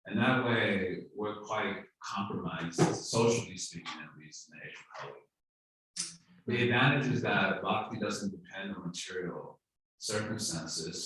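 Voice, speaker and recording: A man chatting casually in a scripture class, loose and relaxed with lots of "like". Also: low-pitched, slight background noise. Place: temple hall. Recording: echoey hall, distant mic.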